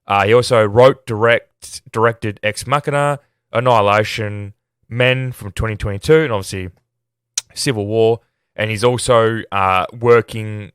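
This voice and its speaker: Aussie man doing a casual movie review, bit sick, clean smooth voice, dry close sound, rambles a bit. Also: lowish voice, a bit bright, no echo. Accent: Australian. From Australia. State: sick, voice at risk of giving out. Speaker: film podcast host. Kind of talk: casual solo film review.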